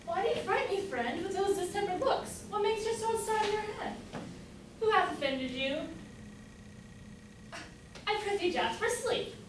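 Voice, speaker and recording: A young woman student playing a devoted gentlewoman in love in an amateur staged reading of a Jacobean comedy. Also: very high-pitched, expressive, normal speed, pauses often. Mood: pleading. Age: young adult. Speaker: student actor. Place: college room, staged reading. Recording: echoey room from far off, faint noise.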